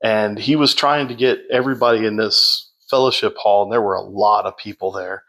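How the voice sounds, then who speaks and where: A man telling his story as a podcast guest in a loud, projecting voice, unhurried, with many fillers and restarts. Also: fairly low pitch, steady tone. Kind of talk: casual storytelling.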